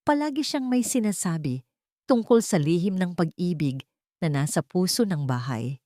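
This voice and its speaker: A woman's voice: fairly low pitch, expressive, normal speed, no room sound, studio quality, natural voice. Woman voicing a tender, frail old grandmother. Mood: wondering, reminiscing. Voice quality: trembling, soft voice. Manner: gentle, emotional.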